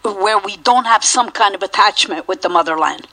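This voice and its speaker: A woman from Greece hosting a radio talk show, chatting lively and laughing often. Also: mid-range voice, normal speed. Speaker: radio host. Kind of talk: casual radio chat.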